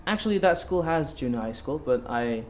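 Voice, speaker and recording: A man's voice: a little high, expressive, a little fast, darkish tone, a little hiss or hum. A young man in his late teens from Bali giving casual interview answers as a student guest, hesitant and repeating himself. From Bali, Indonesia.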